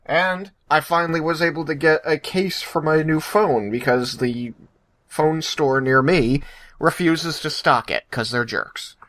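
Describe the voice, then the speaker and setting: A man's voice: fairly high pitch, a little slow, a bit bright, no room sound. A man co-hosting a podcast in casual chat, with a jokey, sarcastic way of talking. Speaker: podcast co-host.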